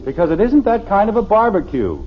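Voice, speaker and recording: Stubborn American suburban dad, middle-aged, testy and husky, highish voice, dull vintage radio recording. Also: male voice, sing-song, brisk. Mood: impatient. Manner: blustery, exasperated. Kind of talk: 1950s American radio sitcom acting.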